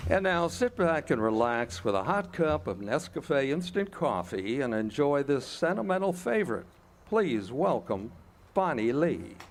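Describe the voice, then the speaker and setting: A man's voice: on the high side, big pitch swings, a little slow, background noise. A man announcing a live radio theater show and reading sponsor copy in a scripted old-time radio style. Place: outdoor amphitheater stage. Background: music.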